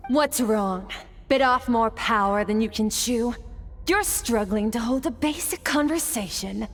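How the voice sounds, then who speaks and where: A woman's voice: fairly high pitch, a little slow, faint music behind. An actress playing an aloof, battle-hardened swordswoman in a video game, composed and condescending in manner. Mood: challenging, disdainful. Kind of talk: scripted video game acting.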